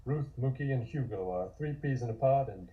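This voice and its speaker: A man's voice: mid-range voice, expressive, fairly quick, dull audio. A man reading scripted scene narration for a comic table read.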